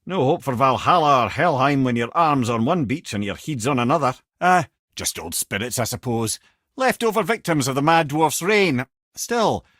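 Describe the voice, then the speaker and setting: A man's voice: mid-range voice, a bit bright, no echo, studio quality. A man playing a wry, worldly-wise old storytelling sage with a Scottish accent in a video game. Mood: grave, musing, then conversational. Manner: wry, lilting, theatrical storyteller. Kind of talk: video game character acting, storytelling and banter.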